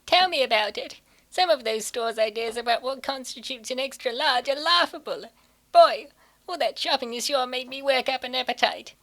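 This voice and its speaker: A woman voices an easygoing, food-loving college student for a comic dub. The voice is high. The delivery is breezy and playful. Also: animated, normal speed, a bit bright. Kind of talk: comic dub character acting.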